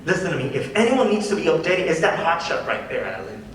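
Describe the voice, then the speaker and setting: A man's voice: a little high, very animated, fast, a bit bright. A man acting as an intense, brooding comedian in a live script reading. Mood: heated, mocking. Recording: distant echoey room, faint noise.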